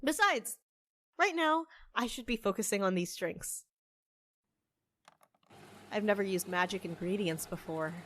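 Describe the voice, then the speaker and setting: A woman's voice: medium pitch, lively intonation, a little slow, pauses often, a bit bright, no room sound. A woman playing a stressed, stubborn barista in an audio drama, with a wry, defensive, casual way of talking. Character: stressed, stubborn half-human young-looking woman barista, grieving, wry.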